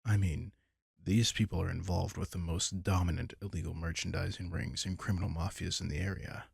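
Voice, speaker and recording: A man playing a caring, wry vampire king in an intimate comfort roleplay audio drama, talking casually and softly with dry teasing. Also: deep voice, flat delivery, fairly quick, vocal fry, husky, no echo, heavily acted. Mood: matter-of-fact, informative.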